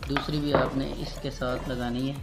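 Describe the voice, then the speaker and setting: A man with a lower-pitched voice walks viewers through a hands-on electrical job in a casual tutorial style. He is instructive and repeats each step, addressing the audience as friends. Music plays prominently behind him. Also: flat delivery, normal speed. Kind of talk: casual step-by-step how-to demonstration.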